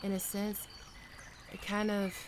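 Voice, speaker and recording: A performer playing a gentle young best friend with a secret crush, speaking softly and hesitantly in an ASMR roleplay, comforting and tender. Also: medium pitch, monotone, unhurried, background noise, natural voice. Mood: tender, reassuring. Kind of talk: soft-spoken ASMR roleplay.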